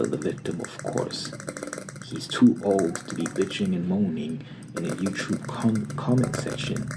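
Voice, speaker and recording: A man playing a stern, formal TV news anchor in an ASMR roleplay, speaking softly and half-whispered with a stiff, stammering interviewer manner. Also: deep voice, vocal fry, very noisy, exaggerated voice. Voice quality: soft-spoken, half-whispered.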